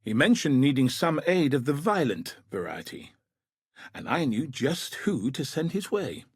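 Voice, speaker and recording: An actor playing a gruff, dutiful military commander in a video game, with a husky, rough-edged voice and a grave, dry, clipped delivery. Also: male voice, medium pitch, sing-song, a little slow, no echo, heavily acted. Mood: dry, businesslike. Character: gruff, dutiful male military commander sending a warrior into danger. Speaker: video game character, quest giver. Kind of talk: scripted video game voice acting.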